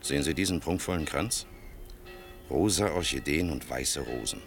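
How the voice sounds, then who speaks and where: An actor playing a grave, world-weary older male official in a German radio play, speaking with measured, bitter irony. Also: very deep voice, gravelly, faint music behind. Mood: solemn irony. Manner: measured, sardonic, rhetorical.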